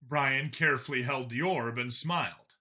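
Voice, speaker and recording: A man's voice: mid-range voice, moderate pace, sharp tone. A man reading scripted fiction aloud as an audiobook narrator.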